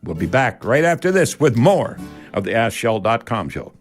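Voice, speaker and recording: An older man with a Canadian accent hosts a talk radio show about home improvement. His delivery is folksy and rambling, with emphatic phrasing aimed right at the listeners. His pitch sits in the middle range for a man. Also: big pitch swings, normal speed, soft background music.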